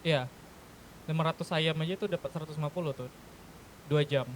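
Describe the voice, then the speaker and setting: Male gamer, Indonesian accent, lightly raised pitch, informal explainer, faint hiss and key clicks. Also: fairly even intonation, fairly quick. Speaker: gaming group member in an online roleplay session. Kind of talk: casual explaining to fellow gamers.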